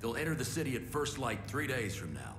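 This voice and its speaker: A man acts out a stern, world-weary older security officer in a game cutscene. The voice is mid-pitched and echoes in the room, with clear music underneath. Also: sing-song, fairly quick, close to an everyday voice. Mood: stern, matter-of-fact. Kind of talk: video game cutscene acting.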